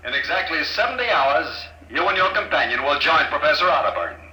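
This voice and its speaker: An actor playing a calm, mocking male villain who speaks over an intercom in a 1950s radio drama. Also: a little high, slight background noise, full character voice. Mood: cold. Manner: smooth, unhurried, mocking. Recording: echoey intercom on old radio broadcast.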